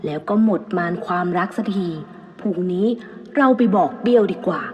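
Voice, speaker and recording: Woman acting a jealous, rough-talking village man. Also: medium pitch, lively intonation, fairly quick, a little hiss or hum, lightly acted. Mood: gloating. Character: jealous, murderous village man, scheming and obsessive. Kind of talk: dramatised Thai folk storytelling. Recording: muffled, long reverb.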